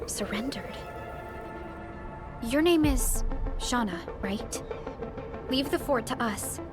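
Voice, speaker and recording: An actress playing a calm, authoritative woman leader in a video game, with composed and formal phrasing. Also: on the high side, takes it slowly, music in the background. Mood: calm, assured.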